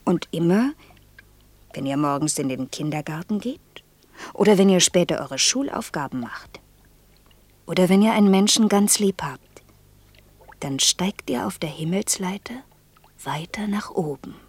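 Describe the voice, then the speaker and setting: An actress playing a gentle, pensive, earnest woman in a quiet radio play, speaking in a breathy, lyrical, hushed storytelling manner. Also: on the low side, lively intonation, dry recording, full character voice. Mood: tender, dreamy. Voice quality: breathy, husky. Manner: hushed, slow, lyrical storytelling that slips into near-whisper. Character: gentle, pensive woman telling a fairy tale, gravely earnest. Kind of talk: quiet, intimate German radio play acting.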